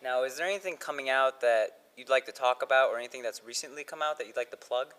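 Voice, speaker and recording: A man interviews a guest, asking polite questions with the odd hesitant pause. His voice sits at an average pitch. The recording carries the long reverb of a large hall. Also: a bit bright, clean tone. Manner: plain, polite questioning with fillers.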